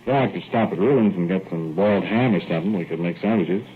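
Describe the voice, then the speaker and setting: An actor playing a young, easygoing small-town plumber who also narrates, in a folksy drawl, 1940s radio drama style. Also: male voice, deep voice, fast, a little hiss or hum, lightly acted. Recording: tinny old radio broadcast with faint music.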